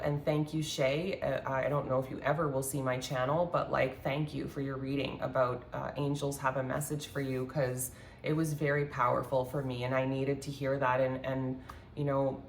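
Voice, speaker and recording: A woman with a congested, slightly hoarse voice giving a rambling, earnest spiritual talk with many fillers while doing a card reading at her home altar. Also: low-pitched, a little hiss or hum. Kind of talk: casual spiritual talk to viewers. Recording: echoey room, faint ambience.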